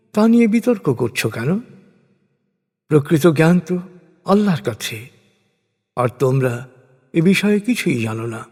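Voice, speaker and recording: Higher-pitched man reciting solemnly in long reverb. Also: a little mellow, breathy edge. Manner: measured, formal, declamatory delivery in short phrases. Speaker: narrator of a scripture translation. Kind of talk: solemn scripted recitation of religious prose.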